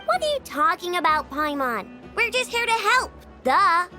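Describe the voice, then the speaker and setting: A woman playing a cheeky, boastful and excitable little girl inventor in a video game, bratty and exclamatory. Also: very high voice, moderate pace, music in the background. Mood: excited, proud.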